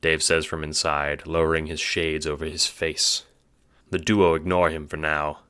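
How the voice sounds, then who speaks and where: A man's voice: very deep voice, little pitch movement, moderate pace, pushed and bright, clean tone. A man reading dramatic scripted narration for an audio drama, as its storyteller.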